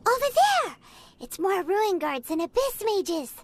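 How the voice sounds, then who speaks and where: A woman's voice: very high-pitched, sing-song, measured pace, over music, exaggerated voice. A woman voicing a tiny, chatty, curious fairy companion in a video game, who talks about herself in the third person. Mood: excited.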